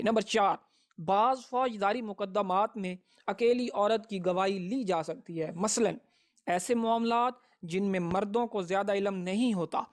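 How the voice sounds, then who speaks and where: A man gives a prepared educational law lecture in a formal, methodical way, setting out points one by one. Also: high voice. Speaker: advocate presenting law lessons.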